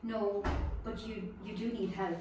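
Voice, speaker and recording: A woman playing a steady, hopeful rescuer in a screenplay table read, calm and earnest. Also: medium pitch, a little slow, lightly acted. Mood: firm. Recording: distant, echoey room with clear noise.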